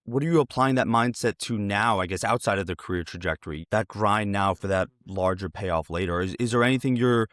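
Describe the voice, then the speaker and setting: A man's voice: on the low side, brisk, hardly any pauses, a bit bright, dry recording, studio quality. An American man hosting a conversational interview, asking wordy questions that he rephrases as he goes. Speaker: interviewer, host.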